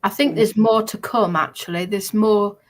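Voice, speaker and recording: A woman's voice: medium pitch, fairly even intonation, normal speed. A woman chatting casually as a livestream commentator. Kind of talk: casual chat.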